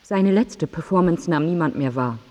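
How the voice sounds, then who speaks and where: An actress playing a soft, breathy carer who urges a confused patient, in a German radio drama. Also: female voice, sits low, lively intonation, moderate pace. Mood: detached. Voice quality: breathy, half-whispered.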